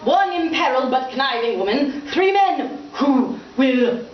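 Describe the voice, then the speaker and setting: Woman acting a pompous older gentleman playwright, melodramatic, florid, raised pitch, echoey room. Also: animated, a little slow, recorded from a distance. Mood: grandly dramatic. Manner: grandiose, florid, fussy. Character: melodramatic, self-absorbed older male playwright, pompous father. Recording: muffled, echoey, noisy room from afar.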